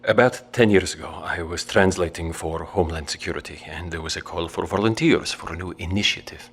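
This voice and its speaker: An actor playing a middle-aged father and government translator who talks gently to his daughter and stammers when pressed. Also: low voice, brisk, breathy edge.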